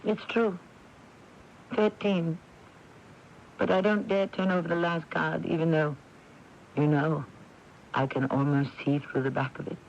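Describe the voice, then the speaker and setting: An actress playing a proud, fatalistic married woman who reads tarot cards, dramatic and deliberate, in 1980s radio drama style. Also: low voice, fairly even intonation, a little mellow. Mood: uneasy, suspenseful. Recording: muffled, faintly hissy 1982 radio tape.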